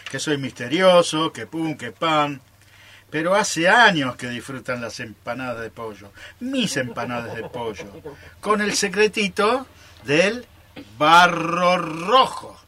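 A man's voice: fairly high pitch, expressive, slow pace, bright, forceful tone, heavily acted. A man with an Argentine accent acting a stubborn, teasing keeper of a secret recipe in amateur radio theatre, with coy, theatrical delivery.

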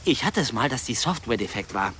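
A man's voice: medium pitch, animated, a little fast, fairly bright tone, slight background noise, close to an everyday voice. A man playing a hesitant, flippant young student drone pilot in a German radio drama, muttering and slangy. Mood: flippant, unsettling. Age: young adult.

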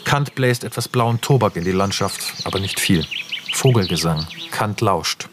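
A man's voice: low voice, animated, moderate pace, background noise. A man narrating a radio drama, reading stage directions in a terse, matter-of-fact way.

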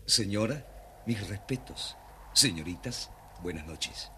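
Courteous low-voiced storybook bear. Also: male voice, expressive, takes it slowly, heavily acted. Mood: pleading, reassuring. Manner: courtly, soft-spoken, deferential. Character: polite, gentle adult male talking bear. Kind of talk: dramatized children's storybook record. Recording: faint noise, old children's story record.

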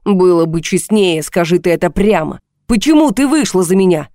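A woman's voice: mid-range voice, moderate pace, mellow, dry recording, clean studio sound, natural voice. Woman voicing an agitated, self-pitying husband. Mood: accusing. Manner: agitated, accusing, pleading. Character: volatile, self-pitying husband with a bad heart.

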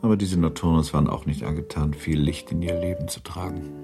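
A man narrating a classic novel for a radio drama as a sober, measured and matter-of-fact storyteller. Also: very deep voice, steady tone, over music.

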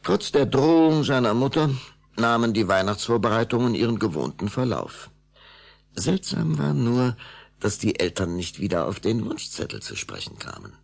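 Gravelly, expressive male story narrator. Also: mid-range voice, moderate pace. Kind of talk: dramatic scripted storytelling.

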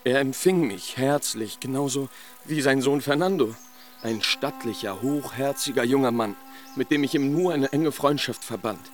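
A man doing a heartbroken young nobleman in a radio drama, impassioned and mournful, telling his tale over faint music in a studio production. His voice sits at a middle pitch and rises sharply when the story turns painful. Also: moderate pace, natural voice. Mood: lamenting. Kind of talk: radio play acting.

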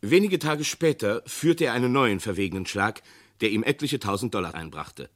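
A male narrator of a vintage radio play with a slightly low voice. He speaks gravely and evenly, setting out each line with care. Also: expressive, a bit bright. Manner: grave, measured. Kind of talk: scripted narration.

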